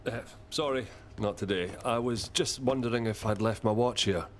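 A man's voice: medium pitch, normal speed, noisy background, natural voice. An actor playing an easy-going, cheeky young village man with a British accent in a radio soap. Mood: casual. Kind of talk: naturalistic radio soap acting.

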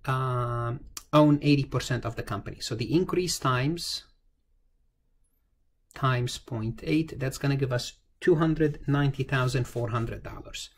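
A man's voice: mid-range voice, measured pace. A man teaching an informal step-by-step tutorial, explaining as he goes, repeating phrases and asking himself questions that he then answers. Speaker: accounting lecturer. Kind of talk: informal tutorial lecture, thinking aloud through a worked example. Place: indoors, screen-recorded voice-over. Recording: noticeable background noise.

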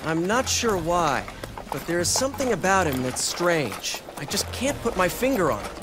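High-voiced, brash young warlord. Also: male voice, very animated, moderate pace, over music. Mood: musing, defiant. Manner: informal, cocky, eager. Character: brash, hot-headed young warlord of ancient China. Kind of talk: dramatic video game dubbing.

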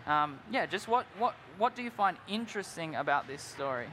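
A man's voice: on the high side, animated, a little fast, sharp tone, a little hiss or hum. A young man co-hosting a live youth Bible discussion on stage, earnest and upbeat, tossing in "like" and "right?". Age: young adult. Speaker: co-host of a youth Bible panel. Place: large indoor convention hall stage with crowd. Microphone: headset mic.

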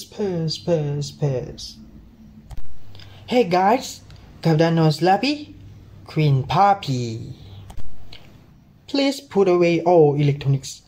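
A young student voicing an excitable, welcoming cartoon bus creature in an exclaiming announcer manner. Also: mid-range voice.

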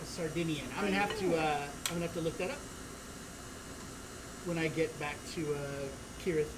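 A woman's voice: low-pitched, normal speed, natural voice. A woman playing a jovial female orc butcher of a savage tribe, bantering playfully and relishing the gore on a cooking stream. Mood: hammy, cheerful. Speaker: co-host. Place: studio kitchen set, indoors. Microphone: far camera. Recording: noisy studio room audio.

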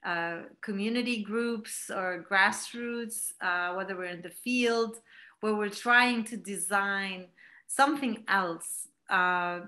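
Guest speaker, woman in her 40s, from Palestine, unhurried and reflective, bright voice, laptop video call. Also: mid-range voice. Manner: reflective, many fillers and restarts. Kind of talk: online talk. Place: home room, indoors. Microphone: laptop webcam video call.

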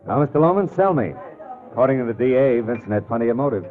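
A man's voice: mid-range voice, lively intonation, fast, lightly acted. A man playing a hard-boiled, wry private detective in an old radio drama, talking in a clipped, tough way. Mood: sceptical, probing. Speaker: lead actor and narrator. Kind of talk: 1940s-50s radio detective drama, first-person narration and dialogue. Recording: tinny, band-limited vintage radio recording, music.

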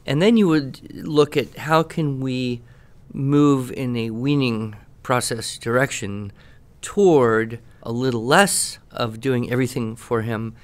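Measured man giving advice on a podcast. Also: mid-range voice, steady tone. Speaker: podcast guest expert answering questions. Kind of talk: casual podcast talk, giving teaching advice.

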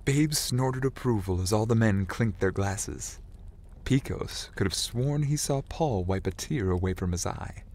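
A man narrating a scripted Christmas story as an animated storyteller. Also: lowish voice, a little slow.

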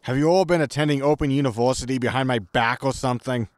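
An actor playing a grumpy, exasperated spaceship captain in a sci-fi comedy audio drama, blunt and sardonic. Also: male voice, mid-range voice, fast, dry recording, clean studio sound, natural voice. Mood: impatient. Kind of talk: science fiction comedy audio drama acting.

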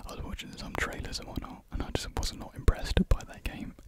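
A young man whispers throughout in a breathy, unvoiced hush. He rambles in an informal, unhurried way, like an ASMR creator chatting to viewers. The microphone is close, on a desk in a small room. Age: young adult. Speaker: ASMR video host. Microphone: desktop USB microphone up close.

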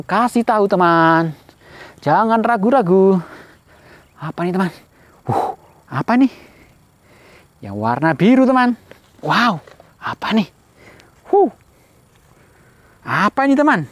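A man's voice: high-pitched, sing-song, slow pace, pauses often, dry recording. A man hosting a playful toy-hunt vlog, exclaiming and putting frequent questions to his viewers. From Indonesia. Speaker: host of a children's toy-hunt video channel.

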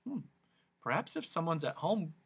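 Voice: medium pitch, big pitch swings, moderate pace, slightly rough, full character voice. A narrator voicing a timid, curious young boy in a storybook, polite and hesitant in manner. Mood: hopeful. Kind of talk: children's audiobook character voice.

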